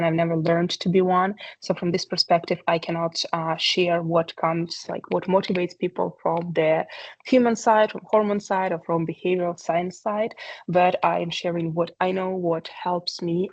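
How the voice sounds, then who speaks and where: A woman's voice: fairly low pitch, a little mellow, dry recording, poor audio. A woman, giving a talk on a video call, earnest and hesitant with many fillers. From Ukraine. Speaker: presenter. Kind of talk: online meeting presentation.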